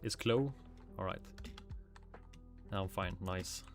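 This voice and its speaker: A man's voice: sits low, takes it slowly, vocal fry. A man from Sweden with a Swedish accent, chatting casually on a game livestream, muttering to himself, swearing lightly and answering chat. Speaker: streamer. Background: faint music and keyboard typing.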